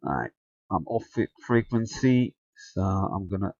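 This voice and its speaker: A man giving a casual hands-on tutorial narration, halting and thinking aloud as he goes. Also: low-pitched, very animated, creaky, darkish tone, dry recording. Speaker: amateur radio video tutorial presenter. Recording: dull, lo-fi close sound.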